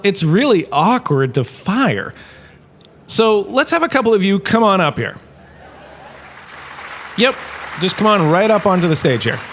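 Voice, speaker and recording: An actor playing a washed-up former star turned lecturer, giving a breezy, joking lecture in a comic audio drama. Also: male voice, high-pitched, heavily acted. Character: washed-up former star, now a lecturer, chatty and self-important.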